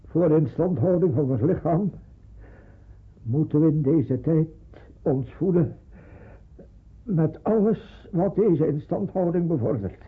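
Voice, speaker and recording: Muffled radio play, solemn male family patriarch, very husky, slow, resolute. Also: fairly high pitch, expressive, heavily acted. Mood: grave, resolute. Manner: measured, solemn. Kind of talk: radio drama acting. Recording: muffled narrow-band radio recording.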